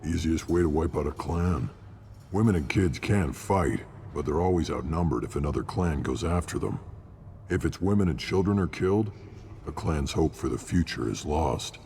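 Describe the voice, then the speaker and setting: A man playing a gruff, battle-hardened alien scout commander in a video game, speaking bluntly in a gravelly voice. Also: a bass voice, moderate pace, dark tone. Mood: gruff, matter-of-fact. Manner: blunt, matter-of-fact. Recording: long reverb, clear background noise.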